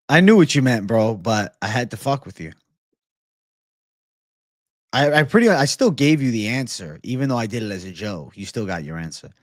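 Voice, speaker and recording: A man's voice: medium pitch, sing-song, normal speed, pauses often, no room sound, clean studio sound. A man hosting a casual livestream, chatting and answering viewers in slangy, profane, bro-heavy banter. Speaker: livestream host.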